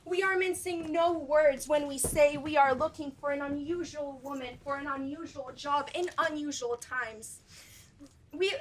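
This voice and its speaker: A woman's voice: high voice, low-quality recording. A girl playing a pompous casting agent. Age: teens. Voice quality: pompous, salesy. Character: smooth corporate female casting agent, pompous. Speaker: student actor. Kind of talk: school stage play acting. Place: school stage, hall.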